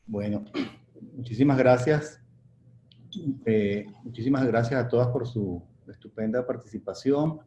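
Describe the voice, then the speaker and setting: A man's voice: mid-range voice, unhurried, mellow. A man moderating an online panel, reading out audience questions with hesitant restarts, over a video call. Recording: dull echoey call audio with faint noise.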